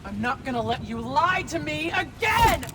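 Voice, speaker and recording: A woman's voice: big pitch swings, moderate pace. A woman playing a wounded, defiant teenage girl villain in a video game, speaking with raw emotion. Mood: furious, defiant. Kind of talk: dramatic video game voice acting. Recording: loud action noise and rumble behind.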